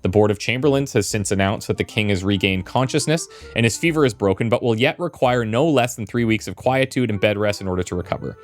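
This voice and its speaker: A man's voice: lowish voice, fast talker, hardly any pauses. A man giving casual let's play commentary as a video game streamer. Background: game music.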